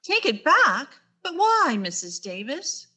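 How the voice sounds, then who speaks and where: An actress playing a wry English teacher with dry, sardonic delivery in 1940s radio sitcom style. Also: female voice, high voice, big pitch swings, takes it slowly, pushed and bright, exaggerated voice. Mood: flustered.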